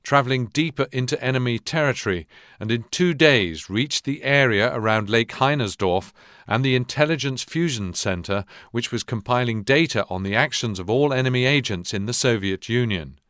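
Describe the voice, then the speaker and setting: An actor as a sober historical narrator, reading formal, measured documentary-style narration for a war video game. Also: male voice, mid-range voice, lively intonation, fairly bright tone, no room sound, clean studio sound, close to an everyday voice. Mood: sober, factual.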